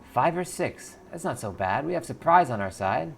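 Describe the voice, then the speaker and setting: A man's voice: mid-range voice, big pitch swings, moderate pace, creaky, slight background noise, pitched above the performer's own voice. A man voicing a hard-edged, vengeful female street mercenary in a game, terse and conspiratorial. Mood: amped, confident.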